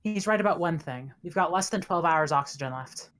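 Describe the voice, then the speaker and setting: A decisive female spaceship officer, acted by a woman at a table read. Her voice is low, with a firm, blunt delivery that gives orders and takes little on trust. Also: animated, brisk, fairly bright tone. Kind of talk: dramatic table read of a film script.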